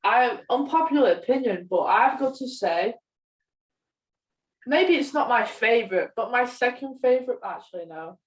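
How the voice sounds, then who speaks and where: A woman's voice: on the high side, lively intonation, moderate pace. A young woman chatting casually as a podcast co-host. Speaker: podcast co-host, media student. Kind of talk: casual film podcast chat. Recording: roomy echo, distant from mic.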